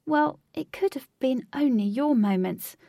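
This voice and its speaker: A woman's voice: sits high, expressive, a little slow, creaky, dark tone, dry recording, studio quality, pitched above the performer's own voice, exaggerated voice. A woman voicing a frank, level-headed young woman with a casual manner, in a story. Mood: advising. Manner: casual, matter-of-fact.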